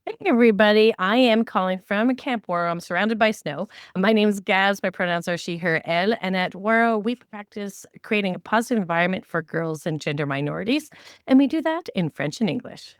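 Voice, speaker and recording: A woman's voice: medium pitch, expressive, brisk, no room sound, studio quality. A woman co-hosting a podcast, introducing herself in a conversational way. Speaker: podcast co-host. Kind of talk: friendly self-introduction.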